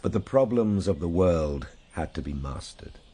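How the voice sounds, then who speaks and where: An actor playing an earnest, reflective gentleman time traveller in a radio drama, moving between measured storytelling and simple, tender coaxing. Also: low-pitched, expressive, heavy background noise. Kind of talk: radio drama narration and acting.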